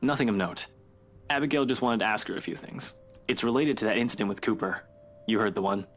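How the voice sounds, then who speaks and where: An actor playing a nonchalant, dry-witted investigator in a visual novel, speaking in an offhand, understated way. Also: male voice, on the low side, fast, soft background music, lightly acted. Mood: guarded, casual.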